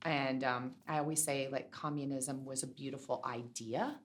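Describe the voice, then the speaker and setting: A woman's voice: very deep voice, steady tone, normal speed. Effusive middle-aged woman podcast host. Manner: effusive, complimentary, chatty. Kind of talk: podcast intro and interview.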